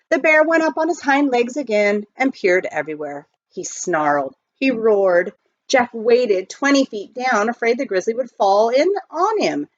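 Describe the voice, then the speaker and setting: Animated woman reading a story, slightly muffled. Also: mid-range voice, dry recording. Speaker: storybook reader. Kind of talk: expressive scripted narration of a children's novel.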